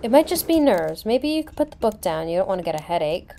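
Woman as a mellow, kindly schoolteacher. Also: mid-range voice, sing-song, fast talker, slight background noise. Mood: friendly, helpful. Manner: gentle, reassuring. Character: kindly, reassuring adult woman at a small school. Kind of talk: amateur voiced roleplay.

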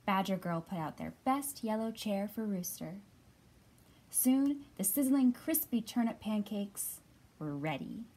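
A woman narrating a children's picture book aloud with measured, emphatic, expressive phrasing. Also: mid-range voice, husky. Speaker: storyteller.

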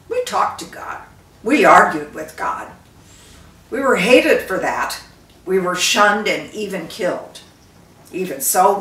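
Slow, earnest young prince read by a woman. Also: on the low side, a bit bright. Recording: roomy, distant microphone.

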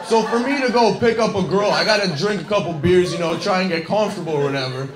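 A man's voice: sits high, quick pace. Young man rambling through a stand-up set. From Scarborough, Canada. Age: young adult. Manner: rambling, self-deprecating, lots of fillers. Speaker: stand-up comedian. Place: comedy club stage. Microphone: handheld mic. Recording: echoey club with loud laughing crowd. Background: audience laughter.

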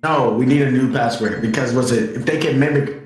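A man's voice: mid-range voice, fairly quick, darkish tone. A man chatting casually during a multiplayer game, often repeating his words and phrases. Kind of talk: casual multiplayer gaming chat. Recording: roomy echo, faint music behind.

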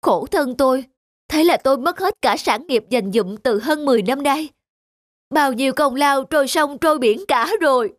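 A woman's voice: high-pitched, moderate pace, no echo, clean studio sound, close to an everyday voice. A woman voicing an earnest, effusive middle-aged male merchant in a folktale cartoon, distraught and grateful. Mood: despairing. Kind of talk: Vietnamese folktale cartoon acting.

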